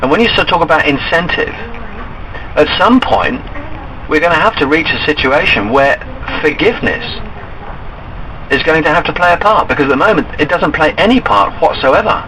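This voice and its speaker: A man's voice: a little high, expressive, normal speed, bright, forceful tone. A man on a phone call, earnestly arguing his case and insistently pressing his points. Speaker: caller. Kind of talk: argumentative phone conversation. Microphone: telephone call. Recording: noisy recorded phone call.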